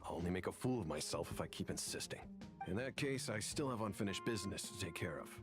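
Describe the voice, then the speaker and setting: A man's voice: low voice, animated, quick pace, music in the background. A man playing an earnest, stiff academic professor in a video game, speaking formally and pressing his case. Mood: disappointed.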